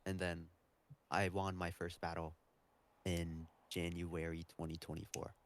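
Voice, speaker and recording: A man's voice: low-pitched, level tone, unhurried. A man answering interview questions casually as a guest on a podcast. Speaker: podcast guest, competitive beatboxer.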